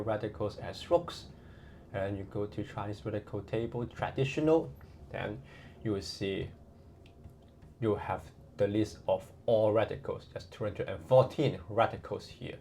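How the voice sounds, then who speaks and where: Gravelly Hong Kong man, low voice. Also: slight background noise. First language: Cantonese. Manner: relaxed, chatty, many fillers and tag questions. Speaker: language tutor. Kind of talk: casual online lesson.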